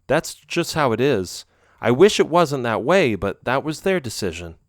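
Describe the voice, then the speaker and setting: A man's voice: mid-range voice, sing-song, normal speed, no room sound, clean studio sound, pitched above the performer's own voice, lightly acted. A man voicing an emotional, lovesick young heroine in a read-aloud romance story. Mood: earnest.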